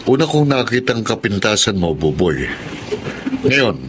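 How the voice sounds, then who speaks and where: A kind, steady father. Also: fairly low pitch, a little slow, slight background noise. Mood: firm, gentle. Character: kind-hearted middle-aged father, patient and firm. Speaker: radio drama actor. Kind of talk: radio drama acting.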